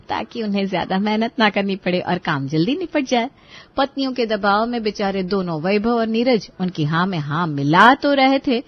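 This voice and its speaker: A woman's voice: mid-range voice, highs cut off. A woman reading scripted narration for a Hindi family cartoon story.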